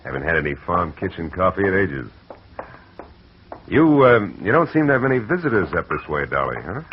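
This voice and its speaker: A man's voice: deep voice, very animated, moderate pace, a little hiss or hum. A man playing a wry, hard-boiled private eye in a 1940s radio drama, who also narrates, on a muffled old recording. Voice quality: dry, wry. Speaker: narrator and lead.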